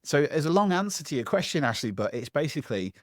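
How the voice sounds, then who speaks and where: A man, a public speaking coach giving a lively interview answer from home, acting out voices as he talks. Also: a little high, quick pace, no echo, studio quality. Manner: animated, acts out other people's voices.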